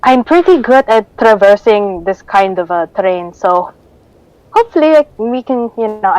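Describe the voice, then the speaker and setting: A woman roleplaying in a tabletop game, haltingly improvising narration and dialogue. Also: medium pitch, a little mellow, dry recording, poor audio. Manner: hesitant, halting.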